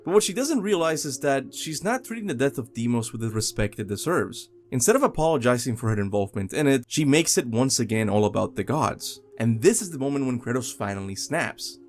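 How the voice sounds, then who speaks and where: A man's voice: mid-range voice, very animated, brisk, few pauses. A young man narrating a scripted video game countdown as host, with music behind him.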